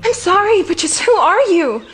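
A woman playing a polite, hesitant young tennis player in an anime fan dub, soft and uncertain. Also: extremely high pitch, big pitch swings, moderate pace, slight background noise, full character voice. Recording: music behind the voice.

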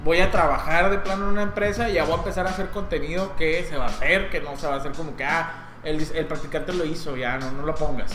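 A man's voice: a little high, fast talker, echoey room, music in the background. A man, hosting a casual podcast chat among friends, joking and cutting in often. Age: 30s. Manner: joking, interrupts, laughs often. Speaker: podcast host.

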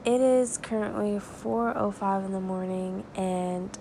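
A woman hosting a devotional podcast, sounding stuffy and raspy from crying, talking earnestly and praying in a conversational way. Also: mid-range voice, fairly even intonation, noisy background. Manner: earnest, conversational, slow and prayerful. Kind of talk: informal devotional talk and prayer.

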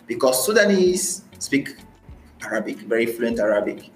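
A man's voice: mid-range voice, big pitch swings, moderate pace. A man from Nigeria with a Nigerian accent, talking as a podcast guest about his experiences and often repeating phrases for emphasis. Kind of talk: conversational interview, recounting personal experience. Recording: roomy echo, faint music.

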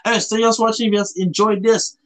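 A man from Canada hosting a casual podcast, talking in a halting way and often rephrasing himself. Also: high-pitched, flat delivery. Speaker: podcast host.

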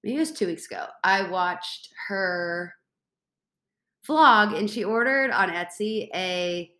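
Young woman, congested, bubbly rambling chat, middle pitch, roomy echo. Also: lively intonation, slow, pushed and bright. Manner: bubbly, rambling, says like a lot. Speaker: podcast host. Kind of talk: casual solo chat.

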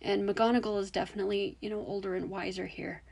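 A woman's voice: mid-range voice, flat delivery, fast talker, gravelly, a little mellow. A woman with a young-sounding voice hosting a podcast, musing hesitantly with many fillers. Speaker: podcast host. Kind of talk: casual solo podcast commentary.

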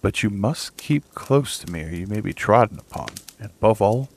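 A man voicing a fussy, proud mother duck in a storybook reading, with a high, fluttering put-on voice. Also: lively intonation, moderate pace.

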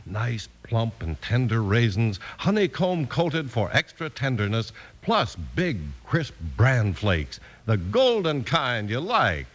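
A man's voice: mid-range voice, very animated, slow pace, hardly any pauses. A man with an American accent announcing in emphatic, polished 1950s radio style. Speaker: announcer and narrator. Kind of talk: 1950s radio announcing, scripted narration and sponsor pitch.

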